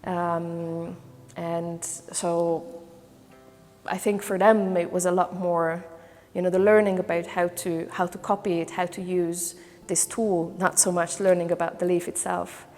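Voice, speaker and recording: A woman's voice: fairly low pitch, level tone, a little slow, a little mellow, big-room sound, faint music behind. A woman from Hungary, a volunteer trainer and career counselor, talks through her project in a testimonial interview, thoughtful and full of hesitations and restarts. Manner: thoughtful, many fillers and restarts.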